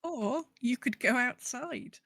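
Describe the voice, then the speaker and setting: A woman's voice: high voice, animated, slow, fairly bright tone. A woman hosting a podcast in casual chat, with dry, understated humour. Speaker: podcast host.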